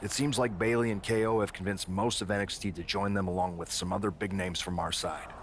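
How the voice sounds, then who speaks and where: An actor playing an earnest, decisive wrestling show boss in a video game, measured and businesslike. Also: male voice, deep voice, fairly even intonation, a little hiss or hum. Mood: concerned, businesslike. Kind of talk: scripted video game cut-scene dialogue.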